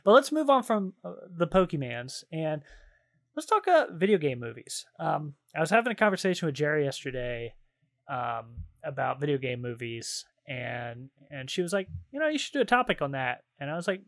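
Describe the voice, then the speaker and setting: A man's voice: fairly high pitch, very animated, normal speed, vocal fry, a bit bright. A man co-hosting a casual podcast, chatting in a hesitant, rambling and jokey way. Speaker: podcast co-host. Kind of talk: casual chat about games and films.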